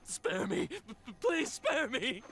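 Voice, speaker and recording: Panicky, high-strung, stammering villager. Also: male voice, wildly swooping pitch, takes it slowly, a bit bright, exaggerated voice. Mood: desperate. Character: terrified, paranoid, half-crazed male herb gatherer. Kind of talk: anime-style game voice acting.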